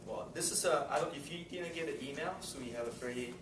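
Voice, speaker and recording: A man's voice: fairly high pitch, quick pace. A man hosting a club meeting, making chatty announcements with frequent little laughs. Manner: friendly, chatty, laughs between remarks. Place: meeting room. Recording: roomy, distant mic, loud room noise.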